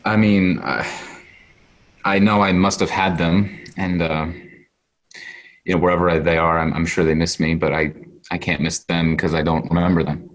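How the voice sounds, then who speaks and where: An actor playing a kind, loyal young friend in a table read, speaking informally and haltingly. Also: male voice, deep voice, little pitch movement, natural voice. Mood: wistful. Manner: halting, informal, with trailing phrases. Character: kind, wistful, eager boy, loyal friend. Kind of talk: actor's table read over video call. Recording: noisy video call with clicks.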